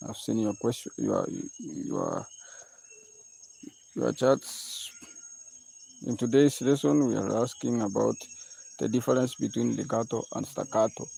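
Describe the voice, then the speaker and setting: A man's voice: mid-range voice, creaky, mellow, slight background noise, rough recording. A man from Kenya hosting a live-streamed music lesson, repeating his question and coaxing viewers to answer.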